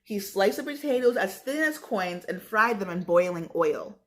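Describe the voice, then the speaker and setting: A woman's voice: medium pitch, animated, normal speed. An American woman with a mid-range voice reads aloud as a teacher would. She is conversational and chatty, mixing the text with informal explanations. She talks straight to her listeners. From New York state, United States. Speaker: teacher reading a book aloud to students. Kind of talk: read-aloud with casual explanatory asides.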